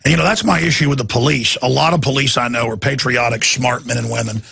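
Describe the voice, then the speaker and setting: A hoarse, raspy man hosting a radio talk show, straining his voice as he rants in indignant political commentary from a studio. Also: medium pitch, quick pace, bright, forceful tone, no echo. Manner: ranting, indignant.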